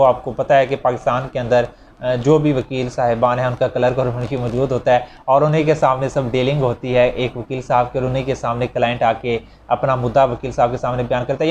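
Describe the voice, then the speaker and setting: A man's voice: medium pitch, a little mellow. A man with a Pakistani accent gives an explanatory law lecture, reading out clauses and then repeating and rephrasing them in a didactic way. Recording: clearly noisy recording with ambient sound.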